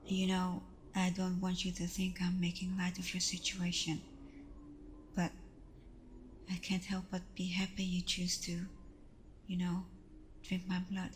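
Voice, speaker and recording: A woman acts out a vampire girlfriend in an intimate ASMR roleplay, tender and reassuring with a playful, vulnerable side. Her voice is lowish and gently even, often falling to a breathy whisper. She takes her time, over audible background noise. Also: lightly acted. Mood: tender, earnest. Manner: soft, breathy, often dropping into whispers, hesitant pauses.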